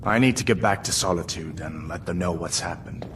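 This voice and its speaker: Man acting a deep-voiced, earnest fantasy soldier. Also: fairly quick, background noise, lightly acted. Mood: sober, shaken. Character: earnest, shaken young soldier reporting a dragon attack. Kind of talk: video game character acting.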